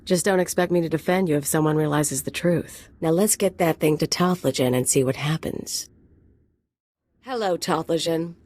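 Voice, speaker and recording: A woman's voice: low-pitched, moderate pace, a little mellow, dry recording. An actress playing a wry, streetwise female mercenary sidekick in a video game, casual in delivery.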